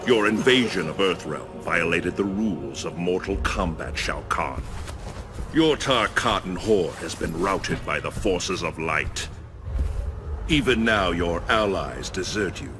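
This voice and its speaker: Stern game deity, solemn, commanding, deep voice, unhurried pace. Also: male voice, animated. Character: stern righteous thunder god passing judgment. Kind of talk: dramatic game cutscene acting. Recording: music behind the voice.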